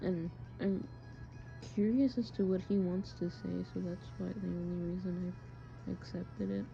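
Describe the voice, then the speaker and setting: A young adult woman talking haltingly and informally, as if into a personal audio diary. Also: on the low side, steady tone, dark tone, faint music behind. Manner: hesitant, halting, informal with swearing. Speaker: audio diarist. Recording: muffled home recording, clear background noise. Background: background noise, friends' voices.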